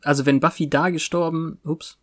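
A podcast co-host chatting casually about a TV series in a halting, spontaneous way. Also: medium pitch, steady tone, a bit bright.

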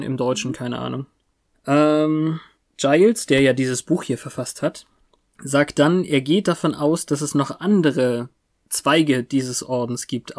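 Halting podcast co-host with a mid-pitched voice. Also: a little mellow. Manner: halting, spontaneous. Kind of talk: casual chat about a TV series.

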